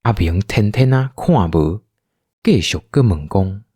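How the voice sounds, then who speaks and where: Low, very animated Taiwanese male narrator. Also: a little slow, slightly rough, no echo, studio quality. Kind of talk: animated storytelling narration for radio drama.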